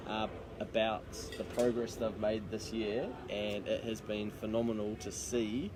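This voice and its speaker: A man's voice: lowish voice, little pitch movement, unhurried, background noise. A man with a New Zealand accent chatting casually to camera as an accountant co-hosting a video, in a matey, slangy way. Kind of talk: casual chat to camera for a live social video.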